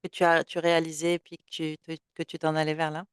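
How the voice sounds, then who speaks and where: A woman's voice: deep voice, completely flat delivery, brisk, clear, resonant tone, dry recording, studio quality. A woman hosting an interview, asking questions hesitantly with many fillers. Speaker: interview host. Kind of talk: casual interview talk.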